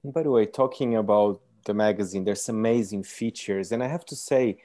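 An interviewer asking questions with enthusiasm and halting phrasing. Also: mid-range voice, dark tone.

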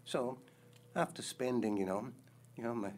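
An older man with a Scottish accent explaining things deliberately in a casual chat, the host's father. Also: medium pitch, darkish tone. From Scotland.

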